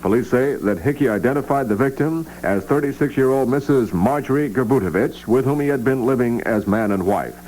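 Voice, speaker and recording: A man's voice: medium pitch, expressive, a little fast, hardly any pauses, a little mellow, rough recording. A man reading scripted news as a radio newscaster on a 1960s AM broadcast. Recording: vintage AM radio, faint hum.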